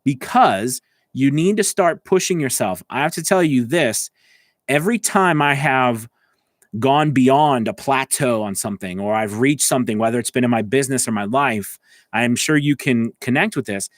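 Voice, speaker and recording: A man's voice: medium pitch, normal speed, no echo, studio quality. A man speaking as a voice acting coach on a live stream, talking informally and directly to listeners in an encouraging, conversational way. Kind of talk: informal instructional talk to livestream viewers.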